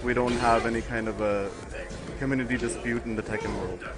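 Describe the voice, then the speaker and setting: A man's voice: medium pitch, flat delivery, a little fast. Chatty young man, laughing, game music behind. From United States. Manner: rambling, laughs often, many fillers. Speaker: guest commentator on a fighting game stream. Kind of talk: casual chat with stream chat.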